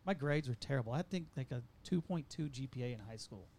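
A man's voice: medium pitch, lively intonation, fast, a little mellow, slight background noise. A man casually sharing his faith story, candid and self-deprecating, with a slight stutter. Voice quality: mentions a stutter. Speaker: guest sharing testimony. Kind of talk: casual chat.

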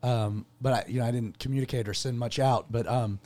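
A man's voice: mid-range voice, steady tone, brisk, darkish tone. A man hosting a radio show as a pastor, talking casually with fillers while his voice cracks from having lost it. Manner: good-humoured, rambling with fillers. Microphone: studio microphone.

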